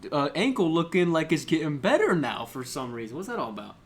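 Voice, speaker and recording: A man's voice: a little high, sing-song, fast. A man co-hosting a sports podcast in casual banter, slangy and jokey, often slipping into mocking imitations of players.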